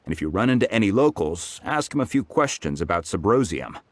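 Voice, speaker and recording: An actor voicing a friendly, humble blacksmith in a video game, chatty and self-deprecating. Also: male voice, deep voice, sing-song, fairly quick.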